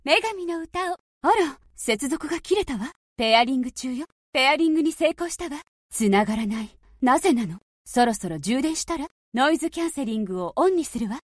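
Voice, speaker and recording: A woman's voice: sits high, animated, brisk, dry recording, studio quality. A woman voicing a confident, mysterious young diva, commanding and teasing, in anime-style device prompts. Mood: cool, confident. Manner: commanding, teasing, self-assured.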